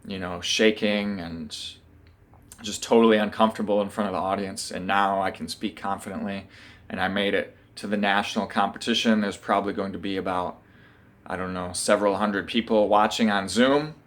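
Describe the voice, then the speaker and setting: A man in his room talking to camera about a competition, relaxed and earnest, pausing to think as he goes. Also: fairly low pitch. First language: English. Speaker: vlogger. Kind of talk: casual vlog talk to camera.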